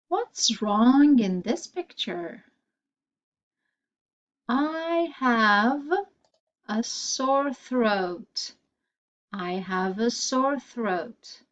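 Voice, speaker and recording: A woman teaching English vocabulary, repeating each phrase slowly and carefully for learners. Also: fairly high pitch, expressive, highs cut off. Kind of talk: slow, deliberate vocabulary lesson.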